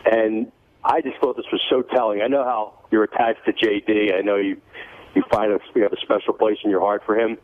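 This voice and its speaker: A man's voice: fairly low pitch, level tone, brisk. A man calling in to a radio show over the phone, complaining conversationally. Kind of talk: casual complaint call. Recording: tinny phone line.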